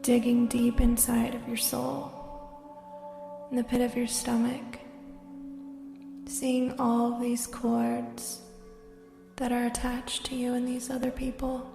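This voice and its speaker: A woman leading a guided meditation, speaking slowly and soothingly, sometimes dropping to a whisper. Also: a little high, monotone, gravelly. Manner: slow, soft and soothing, drifting into whispers. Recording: roomy echo with long reverb and soft music.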